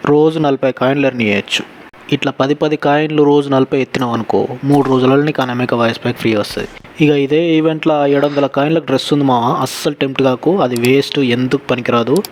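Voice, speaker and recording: Young man, Telangana Telugu accent, rapid chummy gaming tips, faint background noise. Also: mid-range voice, steady tone. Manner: chummy, addresses viewer as buddy. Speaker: gaming YouTuber. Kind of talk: casual gaming tips commentary.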